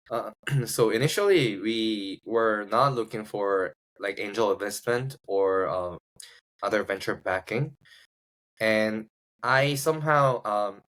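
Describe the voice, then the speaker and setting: A man's voice: fairly low pitch, fairly bright tone, low-quality recording. A man from South Korea with a Korean accent, telling his story casually as a podcast guest, often searching for words. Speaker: podcast guest, startup founder. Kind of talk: casual personal storytelling in an interview.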